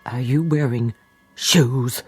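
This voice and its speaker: A woman's voice: a bass voice, slow pace, husky, faint music behind, full character voice. An actress in a radio drama playing a self-pitying hypochondriac mother, plaintive and sweetly controlling. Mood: fussy, controlling. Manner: plaintive, fussy.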